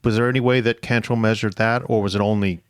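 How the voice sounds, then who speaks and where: Low-voiced male podcast host. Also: fairly even intonation, fast, smooth, no echo, studio quality. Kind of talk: conversational interview on a history show.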